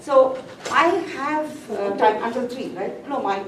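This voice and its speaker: A woman's voice: on the high side, a little slow. A woman speaker giving a chatty, self-deprecating talk on composting in a library room. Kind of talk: informal presentation. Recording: echoey room, far from the mic, noisy.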